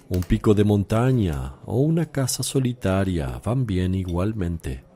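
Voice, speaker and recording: Deep, slow, solemn holy teacher. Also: male voice, dark tone. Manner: measured, solemn, firm. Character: Jesus, calm solemn male teacher. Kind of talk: religious radio drama acting.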